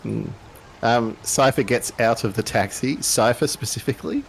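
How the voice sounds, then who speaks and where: A man narrating a tabletop game as game master, improvising in a halting way as if thinking aloud. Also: medium pitch, vocal fry, slight background noise, rough recording.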